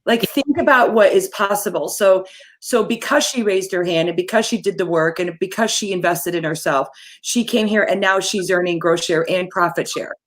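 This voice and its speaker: An energetic woman hosts a casual interview over a video call. Her voice sits a little low, and she talks in quick, chatty bursts with restarts. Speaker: host.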